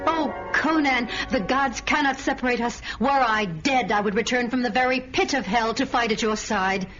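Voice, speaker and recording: A woman's voice: fairly high pitch, normal speed, natural voice. An actress playing a devoted, earnest warrior woman in melodramatic radio fantasy style. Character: devoted, fervent warrior woman, loyal to her lover. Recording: dull lo-fi radio, very loud music.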